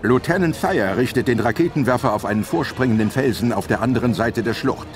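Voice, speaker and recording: Male storyteller, mid-pitched, dramatic scripted narration, clear musical score behind. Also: a little fast, music in the background.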